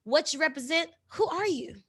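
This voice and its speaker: Podcast host, woman, high-pitched and very bright, casual and affectionate with the guest. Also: expressive, moderate pace. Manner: effusive, affirming, affectionate.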